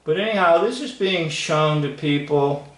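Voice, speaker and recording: A man giving casual, unscripted commentary in a halting, musing manner. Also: a little high, a bit bright. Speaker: video commentator. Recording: echoey room.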